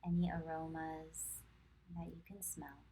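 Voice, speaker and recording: Very slow, low-voiced woman guiding meditation. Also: breathy edge. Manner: calm, measured, soothing, with long pauses. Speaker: yoga instructor. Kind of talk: guided meditation instruction.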